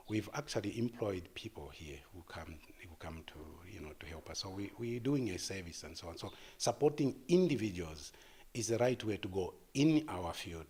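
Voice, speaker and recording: Sixty-year-old male artist in interview, lowish husky voice, halting and repetitive phrasing. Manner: halting, repeats words as he thinks. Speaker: artist and gallery founder being interviewed. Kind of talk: unscripted interview answer.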